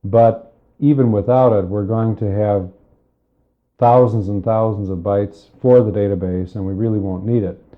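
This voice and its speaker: A man giving a methodical, step-by-step spoken computer lesson, explaining with pauses, recorded on cassette tape. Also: lowish voice, fairly even intonation, phone-quality sound. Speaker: software tutorial instructor.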